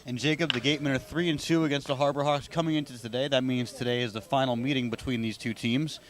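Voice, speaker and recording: A man's voice: mid-range voice, fairly even intonation, fast, crowd noise. A man hosting a sports radio broadcast, doing pregame talk and play-by-play commentary.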